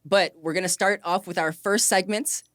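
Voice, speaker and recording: Interview host, mid-pitched, chatty and encouraging, very dry sound. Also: fairly even intonation, moderate pace, a bit bright, dry recording. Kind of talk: upbeat interview hosting with scripted introduction.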